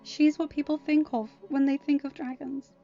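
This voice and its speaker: Woman doing a high, distressed teenage girl. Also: flat delivery, normal speed. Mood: anxious, resigned. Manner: pleading, soft then snapping. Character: distressed, self-doubting teenage girl, guarded and exhausted. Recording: dull lo-fi audio. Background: faint music.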